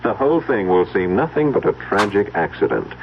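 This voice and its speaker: An actor playing a scheming, murderous gentleman in a radio mystery, with a hesitant politeness that covers cold calculation. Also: on the low side, sing-song, a little fast. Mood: cold, conspiratorial. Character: scheming, murderous gentleman son, suave and falsely casual. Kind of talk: old-time radio mystery acting. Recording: noisy, narrow phone-like old radio recording.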